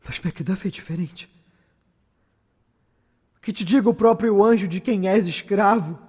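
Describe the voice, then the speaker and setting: A man's voice: high-pitched, a little slow, darkish tone, husky. A man with a Brazilian accent acting a tormented, guilt-ridden tyrant in a dramatic, declamatory theatrical monologue. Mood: tense, defiant. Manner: dramatic, declamatory, swinging from murmur to cry. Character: tormented, defiant, guilt-ridden male tyrant haunted by bloody memories. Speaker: stage actor. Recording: long reverberant echo around the voice.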